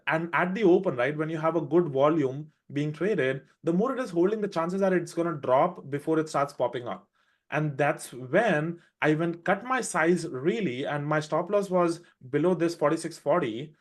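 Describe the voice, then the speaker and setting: A man with an Indian accent, raised in India, walking through his trades on a live trading show with quick, animated explanations. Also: a little high. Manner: fast, animated explaining with restarts. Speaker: day trader presenting a trade recap.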